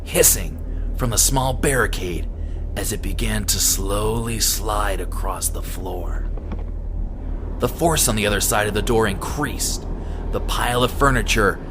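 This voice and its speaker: Low-voiced man narrating horror, noisy background. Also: a little slow. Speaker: story narrator. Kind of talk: scripted dramatic horror narration.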